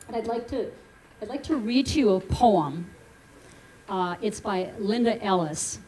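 A woman's voice: mid-range voice, darkish tone, poor audio. A woman in her sixties speaking informally to a gathering and reading aloud into a stand microphone indoors. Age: 60s. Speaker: speaker at a class reunion. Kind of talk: informal public remarks and poem reading. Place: indoor venue with brick wall, on a small stage.

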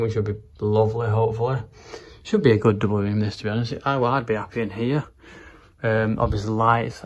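Low, even-voiced man rambling casually. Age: 30s. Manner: rambling, matter-of-fact, informal. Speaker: home renovation vlogger. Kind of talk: casual walk-through vlog narration. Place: rooms of a house, indoors. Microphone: handheld camera or phone at arm's length. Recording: noticeable background noise, handheld camera indoors.

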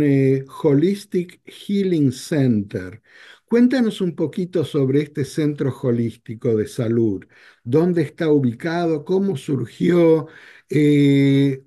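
An older man hosting a talk show over a video call, speaking in a measured, reflective way. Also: fairly high pitch, mellow. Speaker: radio show host. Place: home office.

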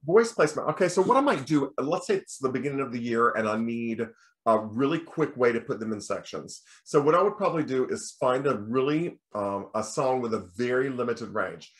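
A middle-aged American man with a bass voice, a choir teacher giving a recorded lecture in a relaxed, explaining way. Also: a little fast. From United States. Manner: relaxed explaining, breaks into sung demonstrations. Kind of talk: recorded lecture over slides.

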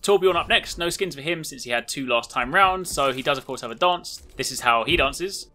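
A man's voice: a little high, expressive, brisk, sharp tone, soft background music. A man hosting a gaming video, giving chatty, informal, enthusiastic showcase commentary.

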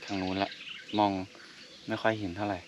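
A young man in a fishing vlog, talking to the camera from a kayak on a canal and exclaiming loudly whenever a fish bites. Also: on the low side, fairly quick, distant mic, lo-fi sound, very noisy. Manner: exclaims loudly at each catch, calmer when explaining. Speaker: fishing vlogger. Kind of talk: excited casual commentary while fishing. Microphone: action camera on the boat. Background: music.

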